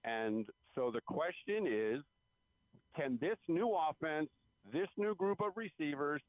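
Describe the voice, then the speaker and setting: A man's voice: a little high, no room sound. Man on a thin phone line, sports analysis. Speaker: sports reporter, guest caller. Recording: phone-like radio call-in line.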